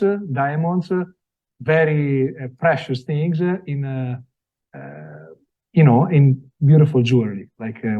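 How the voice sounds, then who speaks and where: A man's voice: fairly high pitch, a little mellow. A man from Italy with an Italian accent, giving a live product presentation at a showroom event with many fillers and restarts. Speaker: company sales representative.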